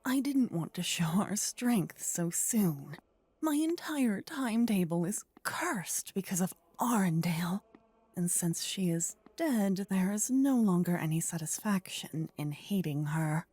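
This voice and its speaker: A woman playing a possessive, warlike demon queen in an intimate ASMR roleplay, speaking in a breathy whisper with measured, formal, regal phrasing. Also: lowish voice, animated, darkish tone. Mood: brooding, vengeful.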